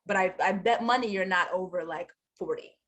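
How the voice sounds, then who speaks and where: A woman's voice: lowish voice, brisk, fairly bright tone. A woman hosting an interview, chatting casually and teasing her guest playfully. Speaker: podcast host.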